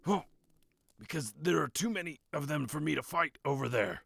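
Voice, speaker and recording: A man acts an embattled cleric in a fantasy audio drama. His voice is slightly high and creaky, with a weary, dry edge. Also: lively intonation, normal speed, fairly bright tone, exaggerated voice. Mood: exasperated. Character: beleaguered male fighting cleric, weary, dry.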